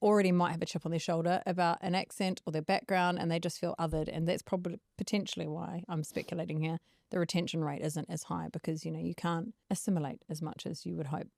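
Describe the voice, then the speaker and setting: A woman with a New Zealand accent, hosting a casual interview, lively and quick to laugh. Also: on the low side, vocal fry, no room sound, studio quality. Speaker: podcast host.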